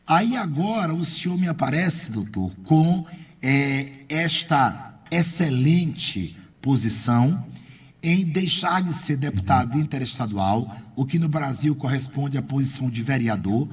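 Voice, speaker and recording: A Brazilian man hosting a radio interview, his voice a little husky and picked up from some distance. He talks in an effusive, formal way and stretches his questions out over long sentences. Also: medium pitch, expressive, unhurried. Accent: Brazilian Portuguese.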